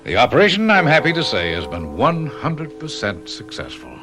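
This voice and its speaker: An actor playing a calm male surgeon who gently coaxes his patient, in radio drama style. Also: mid-range voice, normal speed. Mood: soothing. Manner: soothing, gently coaxing. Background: music.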